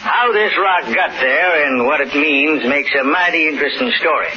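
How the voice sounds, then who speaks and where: A man's voice: a little high, normal speed, a loud crowd, natural voice. Man as a folksy old Western storyteller, muffled. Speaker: narrator. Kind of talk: old time radio narration. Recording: muffled, noisy old radio recording.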